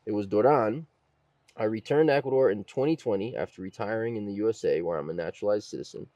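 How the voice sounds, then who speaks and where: A man with a fairly low voice hosts a casual podcast. He tells stories conversationally and voices other people's remarks as he goes. The sound is close and dry. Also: fast talker, dark tone, no echo. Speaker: podcast host.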